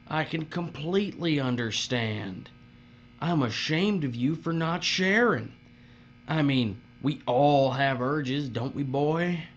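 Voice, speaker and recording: An actor playing a smug, menacing overseer in an audio drama, sneering and drawling with mock politeness. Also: male voice, mid-range voice, a bit bright. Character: menacing, smug male overseer who lords over workers. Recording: dull recording with humming mains noise.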